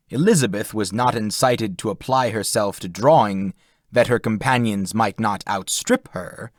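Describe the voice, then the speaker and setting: A man reading literary prose as an audiobook narrator, in a measured, formal manner. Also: fairly low pitch, no room sound, studio quality.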